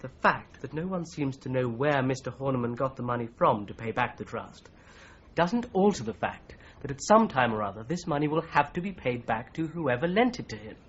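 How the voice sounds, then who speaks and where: A man acts a methodical English solicitor doing some detective work in a 1980s radio drama. He speaks precisely and probes point by point at a middling pitch. The recording is a little muffled. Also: animated, a little hiss or hum, close to an everyday voice. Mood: firm, pressing. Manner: precise, measured, probing. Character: shrewd, methodical male solicitor turned amateur investigator.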